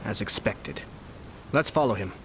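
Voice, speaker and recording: An actor playing a composed, calculating young nobleman in a video game, speaking in a measured, formal, knowing way. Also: on the low side, expressive, a little hiss or hum. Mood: quietly satisfied. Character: composed, calculating young male nobleman, quietly confident schemer. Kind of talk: video game dialogue acting.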